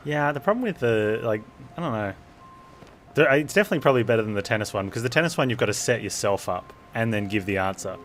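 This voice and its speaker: A man's voice: fairly low pitch, animated, fast, faint music behind. A man streaming a game, chatting casually in a rambling, offhand way. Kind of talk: casual livestream chat.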